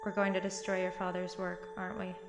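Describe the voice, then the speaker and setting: A woman's voice: on the low side, completely flat delivery, quick pace, gravelly, over loud music. A young woman playing a plucky, teasing college-age granddaughter in an audio drama. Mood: subdued.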